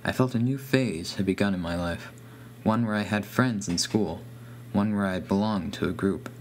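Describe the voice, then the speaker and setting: Man, slightly deep voice, first-person horror narration, scripted, background hum. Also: normal speed.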